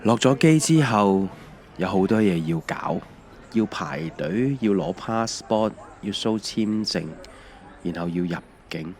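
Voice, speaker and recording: A low-pitched, middle-aged Hong Kong Cantonese voice of a man acting as a mild husband in an audio drama. He tells his story reflectively and without hurry, as scripted first-person narration. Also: animated, unhurried, a little mellow.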